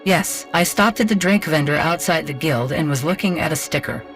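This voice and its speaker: A man's voice: a little high, fairly quick, fairly bright tone, soft background music, voice pushed up, natural voice. A man voicing a logical, literal female android hunter in a story, with a precise, emotionless delivery. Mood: matter-of-fact.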